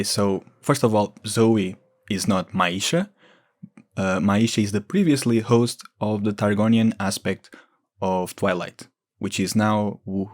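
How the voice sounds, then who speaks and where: A man giving informal, explanatory lore commentary as a gaming video creator. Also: on the low side.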